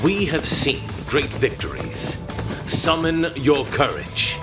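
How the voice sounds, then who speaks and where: A solemn angelic champion , acted by a man, declaims to soldiers with reverence. The words come slowly with sweeping pitch, over clearly audible music in a fantasy drama. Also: very animated. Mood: solemn exhortation. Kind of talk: grand fantasy audio drama oratory.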